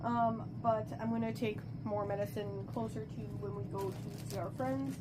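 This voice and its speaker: A woman's voice: mid-range voice, fairly even intonation, moderate pace, noisy background. A woman with a stuffy nose from a cold, chatting casually and rambling as she works on a craft at home. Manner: chatty, rambling, self-interrupting. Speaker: crafting vlogger. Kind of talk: casual talk while crafting.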